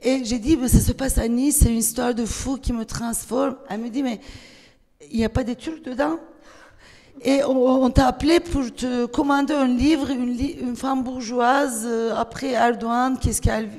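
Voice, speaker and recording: A woman speaking French with a Turkish accent and a rather high voice, telling her story with many fillers and restarts that break into animated bursts. Her voice rings out with a long reverb, as if across a large hall. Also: normal speed. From Turkey. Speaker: panel guest, writer. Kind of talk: panel discussion. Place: festival hall.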